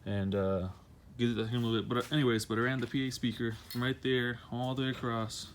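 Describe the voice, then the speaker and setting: A man's voice: medium pitch, flat delivery, fast talker. An American man giving a casual, rambling hands-on how-to, repeating himself and apologizing as he goes on a handheld camera. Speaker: DIY vehicle vlogger. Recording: noisy handheld amateur video.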